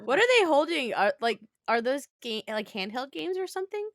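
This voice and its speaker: A woman's voice: high-pitched, sing-song, fairly quick, no echo, studio quality. A playful, giggly woman chatting casually as a podcast panelist. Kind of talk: casual chat.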